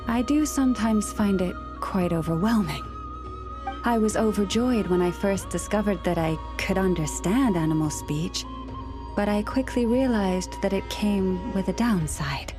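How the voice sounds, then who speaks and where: A woman playing a gentle, composed young woman in a video game, with measured, articulate and gently wry delivery. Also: medium pitch, natural voice. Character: gentle, composed young woman who understands animal speech.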